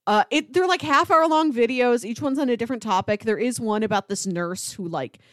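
A woman's voice: a little high, brisk, a bit bright, no room sound, studio quality. A woman co-hosting a podcast, talking in a casual movie review chat. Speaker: podcast co-host.

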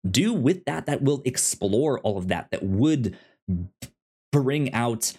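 A man from the United States co-hosting a casual film discussion, halting and often stammering on the first sounds of words. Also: medium pitch, lively intonation, warm tone, husky. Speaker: podcast co-host discussing films.

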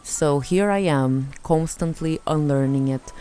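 A woman's voice: low-pitched, unhurried, warm tone, soft background music. A young Brazilian woman with a Brazilian accent tinged with Eastern European sounds, telling chaotic self-mocking stories in a casual vlog and laughing often. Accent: Brazilian Portuguese with Eastern European traces. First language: Portuguese. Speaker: vlogger. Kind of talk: casual storytelling monologue.

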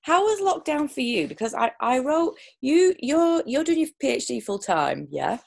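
A woman's voice: high-pitched, very animated, normal speed, creaky, a little mellow. A woman hosting a casual interview chat, talking in loose, rambling sentences full of restarts and ums. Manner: chatty, lots of restarts and ums. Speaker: podcast host.

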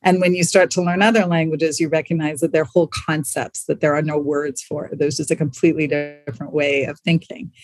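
A woman's voice: low voice, flat delivery, a little fast, hardly any pauses, a little mellow, no echo, soft background music. Thoughtful woman, dry close sound. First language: English. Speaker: museum professional, interview guest. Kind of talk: reflective conversation.

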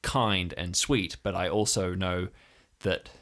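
A man playing an earnest, wary young woman from a compound in an improvised audio drama, speaking in a hesitant, deliberate way. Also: low-pitched, steady tone, fairly bright tone.

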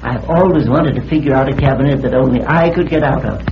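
An American actor playing a scheming old magician in a radio mystery, slyly polite and boastful in a halting way. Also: male voice, medium pitch, close to an everyday voice. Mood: sly, insistent. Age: older. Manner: halting, chuckling, sly politeness. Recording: muffled, noisy old radio transcription.

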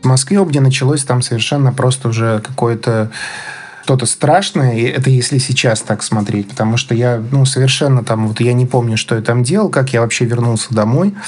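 A man from Belarus being interviewed, casually recounting his own experience in a halting way, searching for words. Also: mid-range voice, a little mellow. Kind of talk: casual spoken recollection. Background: background music.

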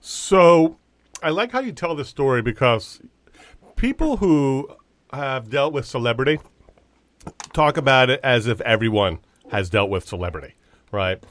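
A man's voice: medium pitch, lively intonation, measured pace, pauses often, fairly bright tone, no room sound. A man co-hosting a podcast with casual, playful, teasing banter. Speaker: podcast co-host.

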